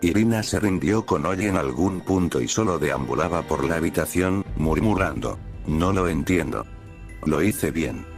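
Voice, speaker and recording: A man with a deep, low voice narrates a scripted horror story in the first person. Music plays clearly behind his narration. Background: prominent music bed.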